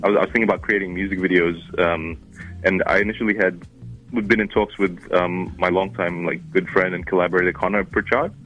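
Deep-voiced man with an Arabic-Kiwi accent. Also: fairly even intonation, fairly quick, fairly bright tone, muffled recording, faint music behind. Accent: mix of Arabic and New Zealand. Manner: rambling, many fillers and restarts. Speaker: musician guest. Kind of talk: casual interview chat.

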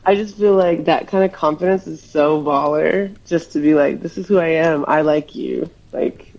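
A woman's voice: low-pitched, normal speed, vocal fry, darkish tone, lo-fi sound. A woman from Hawaii, a musician guest in a casual interview, talking reflectively and rambling with fillers.

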